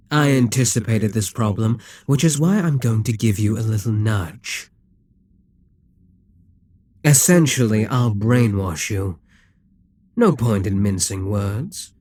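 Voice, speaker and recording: Man voicing a slow, husky, domineering Demon King. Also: lowish voice, a little mellow, no echo. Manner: commanding, condescending, deliberate. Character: immortal Demon King, male, cold, calculating, domineering tyrant. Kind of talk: ASMR audio roleplay, dramatic monologue.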